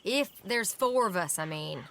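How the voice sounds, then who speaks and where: A woman's voice: medium pitch, lively intonation, a little slow, a bit bright, slight background noise. An actress playing a no-nonsense female outlaw in a video game, blunt and confident with an American Western drawl. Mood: urging. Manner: blunt, plain-spoken, confident. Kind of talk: video game cutscene acting.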